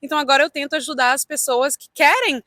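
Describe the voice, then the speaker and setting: A woman's voice: sits high, quick pace, sharp tone, no room sound, studio quality. A young woman from Canada with a Brazilian Portuguese accent, chatting casually and telling anecdotes as an interview guest. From Toronto, Canada. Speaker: interview guest, real estate agent.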